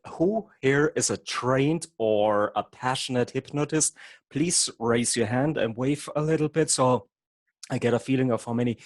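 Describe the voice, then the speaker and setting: A man's voice: medium pitch, dry recording. German man presenting a workshop. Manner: explanatory, self-deprecating, occasional stumbles. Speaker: guest presenter, hypnotherapist. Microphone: video call.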